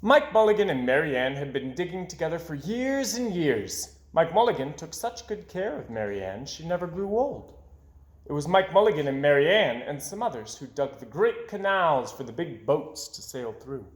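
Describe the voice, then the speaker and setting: A man reading a children's story aloud expressively, with dramatic delivery that drops to hushed phrase endings. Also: a little high, sing-song, normal speed. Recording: echoey room.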